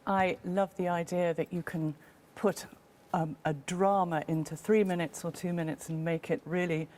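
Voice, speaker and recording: A woman who sings, interviewed in a concert hall, answering thoughtfully and pausing often. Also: fairly low pitch, steady tone, darkish tone. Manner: thoughtful, hesitant with many ums. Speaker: guest singer, jury member. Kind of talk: interview chat.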